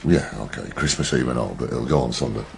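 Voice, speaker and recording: An actor playing a blunt, sweary, gruff middle-aged detective in a naturalistic radio drama, with a gravelly voice. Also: male voice, a bass voice, fast, a little mellow. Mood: gruff, wry.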